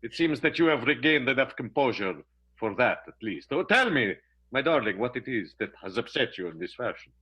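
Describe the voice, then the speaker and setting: Man playing a swooping, husky, pompous husband. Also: mid-range voice, very animated, a little fast, highs cut off. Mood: pedantic. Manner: pompous, precise, over-courteous. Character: fussy, solicitous, pompous theatrical husband. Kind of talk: improvised radio play, old-time melodrama.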